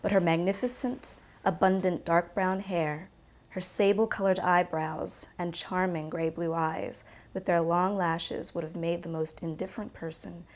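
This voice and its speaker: Woman acting young, composed, literary monologue, slightly low pitch, naturalistic drama. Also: close to an everyday voice, rough recording.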